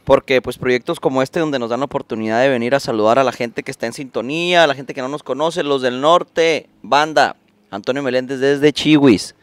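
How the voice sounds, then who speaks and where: A man talks fast and effusively, rattling off greetings and thanks in a dry, close sound. He is a band member in a casual interview on a garden patio. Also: mid-range voice, no room sound. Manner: fast-talking, effusive shout-outs.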